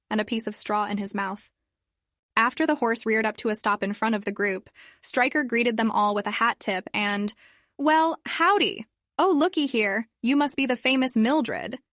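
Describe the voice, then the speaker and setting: Female podfic narrator, mid-pitched, steady, dry closely miked sound. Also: no echo. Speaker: podfic reader. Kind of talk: fanfiction audiobook narration.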